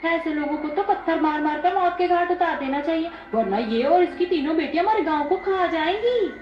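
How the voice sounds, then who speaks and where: A woman's voice: very high voice, expressive, fairly quick, slight background noise. A woman playing a spiteful, superstitious village gossip in a moral story, accusing and scornful. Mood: vicious. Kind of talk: dramatized moral story dialogue. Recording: echoey, dull, faint music behind.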